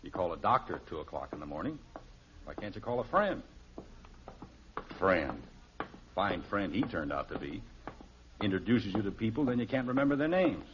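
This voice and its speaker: A man's voice: fairly low pitch, very animated, moderate pace, long pauses. An actor playing a grumbling, stubborn middle-aged American father, wry and exasperated, in a 1950s radio sitcom. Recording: muffled, faintly noisy old radio recording.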